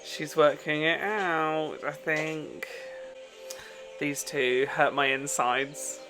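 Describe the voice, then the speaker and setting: A reaction host giving casual, emotionally expressive commentary full of exclamations while watching a TV show. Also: mid-range voice, extremely slow, over music.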